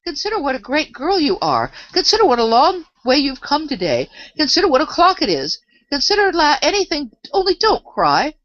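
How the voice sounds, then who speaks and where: A woman's voice: medium pitch, very animated, fairly quick, fairly bright tone, no echo, muffled recording, pitched above the performer's own voice. A woman voicing a scatty, imperious old queen in an emphatic, dotty manner for a storybook reading. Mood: bossy, fussing. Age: older. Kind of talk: storybook character voice.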